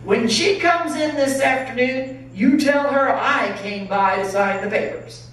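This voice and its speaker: A woman's voice: high-pitched, measured pace, pitched above the performer's own voice. Woman playing an emphatic, hot-tempered rancher father in echo. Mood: eager, insistent. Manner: emphatic, exclamatory. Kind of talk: dramatic storybook reading. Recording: very echoey hall, distant, faint noise.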